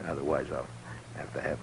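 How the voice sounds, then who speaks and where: An actor playing a calm, steady frontier marshal in a 1950s radio drama, measured and dry. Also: male voice, very deep voice, gravelly, slight background noise, heavily acted, rough recording. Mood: wry.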